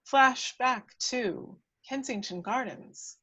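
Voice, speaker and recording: A woman with a fairly high voice narrates stage directions from a screenplay. Her delivery is scripted, describing the action as a table-read narrator. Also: takes it slowly, pushed and bright, slightly rough, highs cut off.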